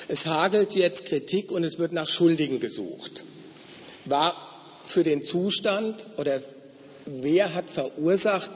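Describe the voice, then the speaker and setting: A man with a slightly hoarse voice from a cold, giving a measured guest lecture in a hall, explaining step by step with occasional fillers. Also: fairly high pitch. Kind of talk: academic lecture. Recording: dull, reverberant lecture hall recording.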